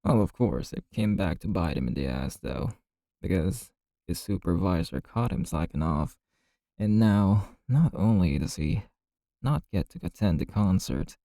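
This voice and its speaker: A man playing a chatty, easygoing bartender in an ASMR roleplay, telling gossipy stories in a casual, friendly, unhurried way. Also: sits low, creaky, mellow, dry recording, clean studio sound, heavily acted.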